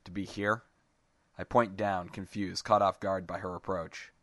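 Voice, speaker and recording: A man reading a first-person short story aloud in a slow, measured way. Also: low-pitched, little pitch movement, a bit bright, dry recording, clean studio sound. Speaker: story reader.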